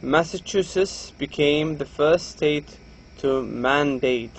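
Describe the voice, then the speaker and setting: A man's voice: on the high side, takes it slowly, slight background noise. A man from Saudi Arabia with a Saudi Arabic accent, a native Arabic speaker, giving a recorded reading lecture to students, reading a textbook aloud and explaining with frequent restarts. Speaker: university English lecturer.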